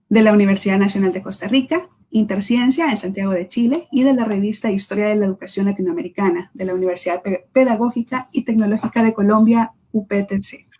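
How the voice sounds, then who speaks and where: A woman, presenting an event and reading formal speaker introductions in a measured way, with small self-corrections. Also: mid-range voice, mellow.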